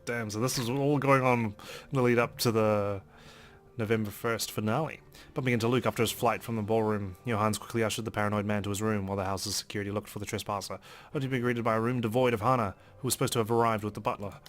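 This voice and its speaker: A man's voice: lowish voice, fairly even intonation, fast. A man reading a visual novel aloud as a let's play host, in a dramatic first-person narration style. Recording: music under the voice.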